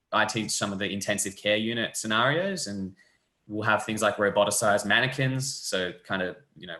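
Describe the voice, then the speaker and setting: A young man, a physiotherapy lecturer explaining the course in a relaxed, friendly way over a video call. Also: on the low side. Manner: relaxed, friendly, loose fillers. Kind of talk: casual explanatory chat. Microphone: webcam on a video call. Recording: echoey room.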